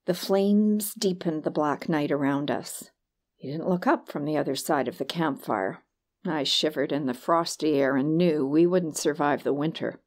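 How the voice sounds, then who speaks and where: Low-pitched woman narrating a story. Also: normal speed, darkish tone. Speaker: audiobook narrator. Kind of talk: scripted first-person story narration.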